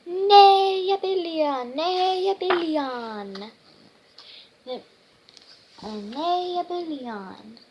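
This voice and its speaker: Storybook pirate captain, played by a young-sounding reader: high-pitched, roaring, boastful, slow and halting. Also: a little mellow, a little hiss or hum, heavily acted. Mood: boastful, scornful. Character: blustering, boastful pirate captain rival, male.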